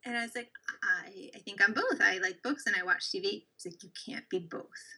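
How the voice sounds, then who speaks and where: A young woman co-hosting a casual chat, telling an animated dating story and acting out the quoted lines. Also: mid-range voice, a little fast, breathy edge. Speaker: co-host.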